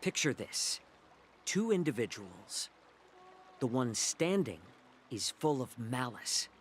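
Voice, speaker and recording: An actor playing a polite, talkative scholarly healer with a sly streak in a video game, explaining things at length. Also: male voice, mid-range voice, very animated, slow pace, a little hiss or hum. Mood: theatrical. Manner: wordy, explanatory, lecturing. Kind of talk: scripted game dialogue. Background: game music.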